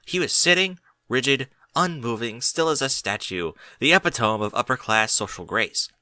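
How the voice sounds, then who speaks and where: Bright, expressive man narrating a story. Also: medium pitch, normal speed, dry recording. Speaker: audiobook reader of fan fiction.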